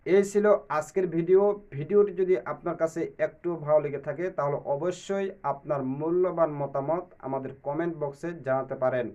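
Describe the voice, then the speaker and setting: A man's voice: a little high, a little fast, mellow, highs cut off. A man hosting a step-by-step phone tutorial in a repetitive instructional way. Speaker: tech tutorial host.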